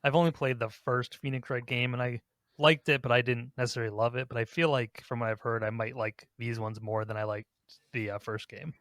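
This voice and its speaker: A man's voice: medium pitch, fairly even intonation, fairly quick, a bit bright, dry recording. Male podcast host, dry close sound. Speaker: podcast host leading a ranked countdown. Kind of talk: casual chat.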